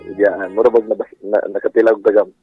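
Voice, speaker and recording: A man with a Filipino accent calling in to a radio show, chatting casually in a hesitant, halting way. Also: lowish voice, level tone. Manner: hesitant, halting, repeats himself. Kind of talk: casual phone chat. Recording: dull lo-fi phone call. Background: faint music.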